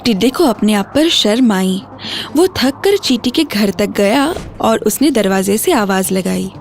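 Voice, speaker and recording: A woman's voice: mid-range voice, lively intonation, measured pace. A woman storyteller reading scripted narration for a children's bedtime story. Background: music.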